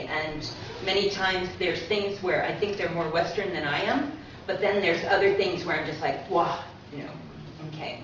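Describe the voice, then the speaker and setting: Woman giving a talk in an echoey room. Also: lowish voice. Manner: conversational, explanatory, often tags points with "right?". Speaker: co-presenter, university teacher. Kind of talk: informal public lecture. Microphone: distant from speaker. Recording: echoey room, distant muffled mic, faint noise.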